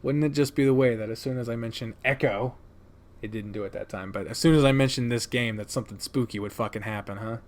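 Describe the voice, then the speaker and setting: A man's voice: medium pitch, fast. A man giving opinionated, conversational review commentary as a video creator, speaking emphatically with dramatic build-ups and casual swearing. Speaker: video creator ranking favorite games.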